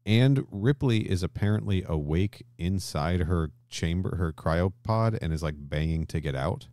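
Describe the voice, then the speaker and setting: A man in casual podcast discussion as a co-host, speaking haltingly and rephrasing as he explains. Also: deep voice, animated, mellow, no echo, studio quality.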